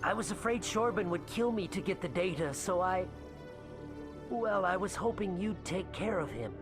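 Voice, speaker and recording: Jittery, high-voiced alien scientist, swooping pitch. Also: male voice, lively intonation, moderate pace, music in the background. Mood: flustered, sheepish. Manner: nervous, hesitant, complaining. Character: anxious, paranoid male alien scientist, shifty and pleading. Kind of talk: video game voice acting.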